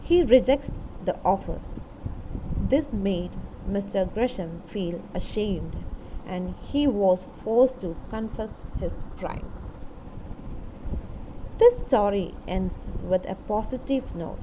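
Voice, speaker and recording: A woman reading a scripted literature lesson in a deliberate, explanatory way, in short phrases. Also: mid-range voice, animated, dark tone, slight background noise, rough recording. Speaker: English literature teacher. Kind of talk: scripted educational narration.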